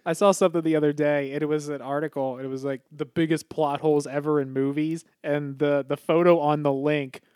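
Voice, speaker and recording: Young male co-host, a bit high-pitched, dry close mic, loose rambling storyteller who swears freely. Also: level tone, a little fast, hardly any pauses, darkish tone, clear, resonant tone, no echo. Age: young adult. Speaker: podcast co-host. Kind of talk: casual chat.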